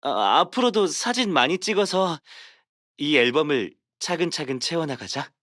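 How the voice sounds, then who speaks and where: Fast-talking tender young sweetheart. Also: male voice, a little high, animated, a bit bright, dry recording. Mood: tender, sincere. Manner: affectionate, intimate. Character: tender young man, devoted childhood-friend sweetheart. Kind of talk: game character voice acting.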